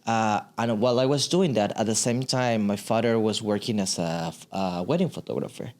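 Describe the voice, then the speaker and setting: A man's voice: lowish voice, fairly quick, darkish tone. A man in his thirties from Ecuador, speaking English with an Ecuadorian Spanish accent, telling his story earnestly and restarting phrases as a podcast guest. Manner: earnest, searching for words with restarts. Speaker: guest, immersive media artist. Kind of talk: casual interview talk. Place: podcast studio with sofas. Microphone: studio mic on boom arm.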